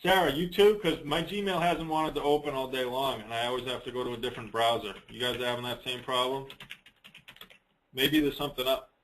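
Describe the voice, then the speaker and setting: A man giving a casual, unscripted software walkthrough on a conference call, rambling a little and thinking aloud as he presents. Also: mid-range voice, steady tone, fairly quick, poor audio.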